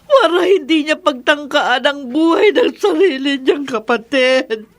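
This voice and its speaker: A woman's voice: high-pitched, lively intonation, unhurried. A woman playing an anguished, guilt-ridden mother in a melodramatic horror radio drama, tearful and pleading. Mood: tearful remorse.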